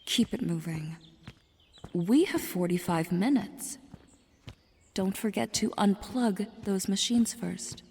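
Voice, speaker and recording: Woman acting as a deadpan, slow, gravelly-voiced pill maker. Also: mid-range voice, slight background noise. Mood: commanding. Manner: deadpan, terse. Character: deadpan, unenthusiastic female party-pill maker. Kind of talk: scripted audio roleplay acting.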